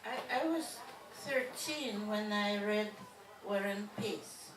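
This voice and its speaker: A woman in her seventies from Russia with a Russian accent, speaking as a guest with dry humor and halting phrasing. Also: mid-range voice. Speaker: translator guest. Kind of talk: relaxed conversation at a bookstore event. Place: bookstore event room. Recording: echoey room, distant mic, background noise.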